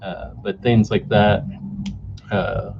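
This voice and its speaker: A man in his forties hosting a solo podcast off the cuff, halting with many fillers and restarts, recorded on a camera mic indoors. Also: on the low side, level tone, gravelly. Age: 40s. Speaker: podcast host. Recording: dull lo-fi camera mic sound with faint noise.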